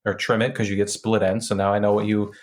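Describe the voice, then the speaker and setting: A man's voice: fairly low pitch, flat delivery, quick pace. A man from New Jersey whose first language is Polish, chatting casually as a podcast guest. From New Jersey, United States. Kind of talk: casual chat.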